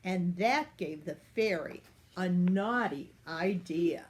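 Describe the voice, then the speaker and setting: An older woman reading a picture book aloud for children in an expressive, unhurried way. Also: on the low side. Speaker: storyteller.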